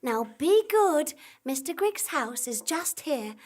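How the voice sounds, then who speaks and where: A woman playing a gentle, anxious young farm woman with fretful melodrama in a live comedy radio play. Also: high voice, big pitch swings, takes it slowly, full character voice. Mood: fondly scolding. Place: theatre stage, live performance.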